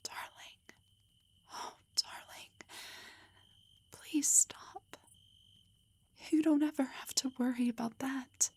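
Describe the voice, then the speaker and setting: A woman playing a loving, protective elf wife in an intimate roleplay audio, speaking tenderly and reassuringly in a breathy whisper. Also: high-pitched, steady tone, takes it slowly, long pauses, warm tone, exaggerated voice. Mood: tender, soothing.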